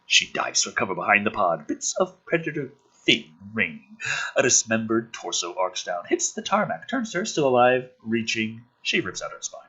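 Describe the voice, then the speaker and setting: Animated, husky man with a forceful edge. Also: fairly low pitch, moderate pace, dull audio. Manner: sarcastic, exasperated, profane; theatrical delivery with sudden emphasis. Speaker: host reading and mocking a film script. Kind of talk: dramatic script reading with sarcastic running commentary.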